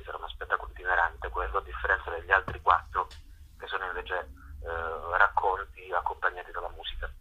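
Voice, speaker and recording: A man answering as a guest in a radio interview, hesitant and halting as he searches for words. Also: sits low, bright, forceful tone, breathy edge. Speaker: interview guest, theatre artist and workshop teacher. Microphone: telephone. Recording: dull telephone line on radio.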